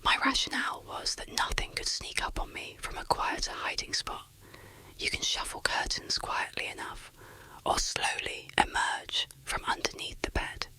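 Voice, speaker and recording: An ASMR storyteller of unclear sex narrating a first-person horror story in a breathy, unvoiced whisper, measured and deliberate. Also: sharp tone.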